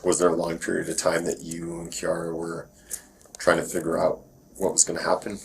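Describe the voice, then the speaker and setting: Male detective, low-pitched, reassuring and informal interviewer, faint noise on bodycam audio. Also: monotone, a little fast, creaky. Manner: low-key, reassuring, casual phrasing. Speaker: police detective. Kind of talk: informal questioning in a police interview. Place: police questioning, recorded on bodycam. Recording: noisy police bodycam audio.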